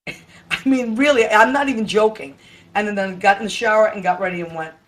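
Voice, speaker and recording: Hoarse fifty-something lady rambling on a home webcam stream, tired and chatty. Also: medium pitch, a little fast. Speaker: livestream host. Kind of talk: casual live chat. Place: home room with Christmas tree.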